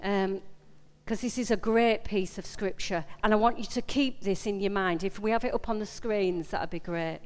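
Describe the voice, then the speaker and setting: A woman's voice: medium pitch, normal speed, darkish tone, clean tone. A woman with a Yorkshire accent giving an informal, personal sermon, emphatic and conversational, repeating phrases and putting questions to her listeners. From Yorkshire, England. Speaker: preacher. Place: large indoor meeting room. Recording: echoey hall with long reverb.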